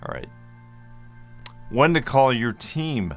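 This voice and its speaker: A man giving an informal explainer as a vlogger, speaking in a halting, deliberate way with long hesitations and at times mildly slurred speech. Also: medium pitch, lively intonation, faint music behind. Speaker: vlogger sharing a personal medical journey. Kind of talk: informal explainer, part reading notes, part ad-libbed.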